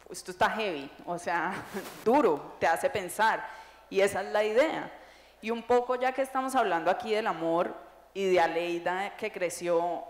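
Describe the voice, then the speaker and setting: A woman in her thirties with a Colombian accent, speaking as an author on a panel, joking and making her points emphatically into a handheld mic. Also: mid-range voice. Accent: Colombian Spanish. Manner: animated, jokey and emphatic. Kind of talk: onstage panel talk. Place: large hall stage before an audience. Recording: echoey reverberant hall.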